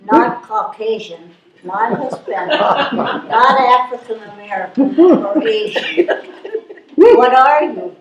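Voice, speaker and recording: A woman's voice: a little high, animated, a crowd in the background. A woman playing an obtuse, politely bureaucratic helpline clerk in a live readers theatre reading. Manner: officious, measured. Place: live stage reading in a room. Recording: echoey room, distant microphone.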